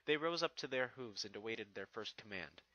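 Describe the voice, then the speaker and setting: A man's voice: on the low side, animated, normal speed, bright, forceful tone, dry recording, lo-fi sound. A man giving a dramatic scripted narration of a fantasy fan fiction story.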